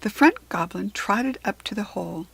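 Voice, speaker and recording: A woman with an American accent reading a children's book aloud in expressive narration, with a breathy voice. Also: medium pitch, normal speed.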